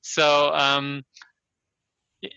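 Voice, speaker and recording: An older man from Germany with a German accent, answering interview questions reflectively and haltingly, often restarting and checking in with "yes?". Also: on the high side, monotone, vocal fry, bright, forceful tone, clean tone, no room sound, muffled recording. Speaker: interview guest, Chinese medicine practitioner and scholar.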